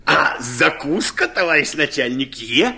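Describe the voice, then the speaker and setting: A man's voice: medium pitch, measured pace, gravelly, sharp tone, slightly rough, exaggerated voice. An actor playing a boisterous, cheeky rustic militiaman in a drama.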